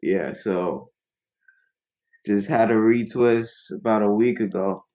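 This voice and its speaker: Young male guest from Ghana, relaxed and slangy, low voice, dull lo-fi sound. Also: takes it slowly. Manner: laid-back, slangy, rambling. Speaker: guest musician. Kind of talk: casual interview chat.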